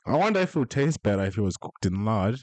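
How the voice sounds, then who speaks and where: A man's voice: fairly low pitch, big pitch swings, quick pace, noisy background. A young man with an Australian accent, chatting loosely to viewers as he reacts to a video, with slangy, laid-back banter. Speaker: reaction streamer. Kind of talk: casual reaction commentary. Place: small indoor room. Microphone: handheld mic with headset.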